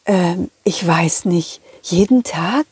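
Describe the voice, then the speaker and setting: Woman as a flustered, slow-talking lemon schoolteacher. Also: lowish voice, darkish tone, breathy edge, no room sound, clean studio sound, pitched below the performer's own voice, full character voice. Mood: sheepish, unsure. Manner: scolding, hesitant, drawn-out. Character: sour, flustered female lemon schoolteacher, easily embarrassed. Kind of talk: children's audio play.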